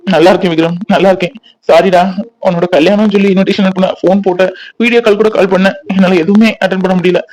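A man's voice: high-pitched, steady tone, fast talker, darkish tone, lightly acted, rough recording. An actor playing a remorseful elder brother and father who keeps apologising in a family drama. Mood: apologetic. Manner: apologetic, pleading. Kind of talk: web serial drama acting.

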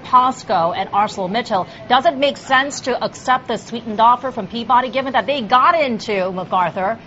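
A woman's voice: a little high, moderate pace, a bit bright. News anchor lady, reads stocks then kinda stumbles with uhs, slightly dull hissy audio. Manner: reads scripted news, then hesitant ad-lib with fillers.

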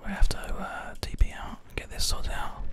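Guy whispering asmr gaming commentary, breathy n soft, close binaural mic, keyboard and mouse sounds. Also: normal speed. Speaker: gaming video host. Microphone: close binaural microphone. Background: keyboard typing and mouse clicks.

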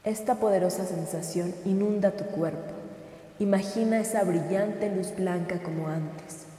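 A woman guiding a scripted sleep meditation in a slow, soothing, gentle manner. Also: medium pitch. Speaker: meditation guide. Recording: echoey with long reverb.

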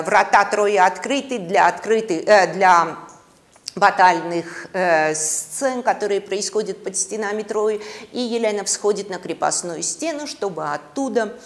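Female lecturer, middle-aged. Theatrical storyteller, highly animated intonation, gravelly creak, deliberate pauses. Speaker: university lecturer. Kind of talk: dramatic lecture on literature and mythology. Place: classroom, indoors.

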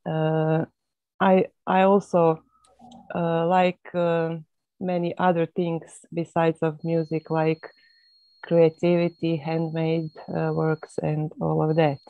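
Croatian-accented woman, low flat voice, slow and halting, thin phone-like call audio. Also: no room sound. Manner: halting, many pauses and fillers. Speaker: webinar guest speaker. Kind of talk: video call introduction. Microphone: video call.

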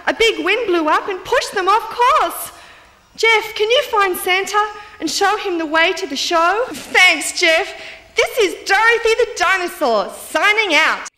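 Dinosaur news anchor for kids: earnest, piping and bright, reverberant sound. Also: female voice, extremely high pitch, lively intonation, unhurried, exaggerated voice. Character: earnest girlish female dinosaur as news reporter. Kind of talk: mock news bulletin for children. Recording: long hall-like reverb.

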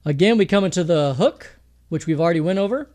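A man's voice: fairly high pitch, lively intonation, quick pace. A man with a thin voice teaching mixing in a home studio, talking casually with fillers and restarts. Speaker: music producer teaching mixing. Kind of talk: screen-recorded tutorial talk.

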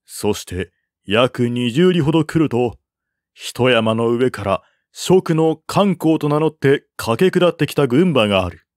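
Man giving a dry, measured literary reading. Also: medium pitch, lively intonation, no room sound. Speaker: audiobook narrator. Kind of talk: dramatic literary narration of a historical war novel.

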